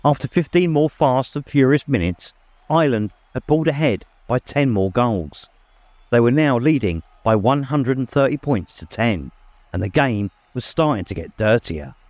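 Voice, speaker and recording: A man's voice: mid-range voice, expressive, moderate pace, darkish tone, no room sound. A man narrating a story in a dramatic, scripted audiobook style.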